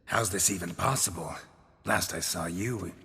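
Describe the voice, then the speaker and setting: An actor playing a gruff, stoic, world-weary monster hunter in a video game, gravelly and deadpan, speaking in terse clipped sentences. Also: male voice, sits low, moderate pace, in a large hall, full character voice. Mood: concerned, apologetic. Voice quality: gravelly, husky. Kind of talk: video game cutscene acting.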